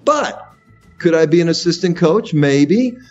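Man in his 60s, radio guest, upbeat coaching delivery, slightly high voice, dull lo-fi sound. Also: expressive, measured pace, faint music behind. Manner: enthusiastic, coaching tone with restarts. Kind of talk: radio interview.

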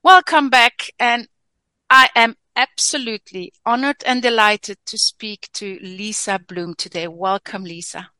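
Bright, effusive female radio host. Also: medium pitch, steady tone, measured pace, clear, resonant tone, dry recording. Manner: effusive, gently probing questions. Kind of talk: radio interview.

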